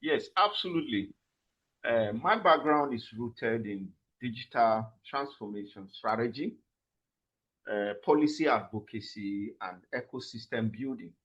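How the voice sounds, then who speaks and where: Husky Nigerian man, measured answers. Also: medium pitch, animated, fairly bright tone, muffled recording. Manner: measured, frequent "uh" fillers. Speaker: guest, summit president. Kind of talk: interview answers.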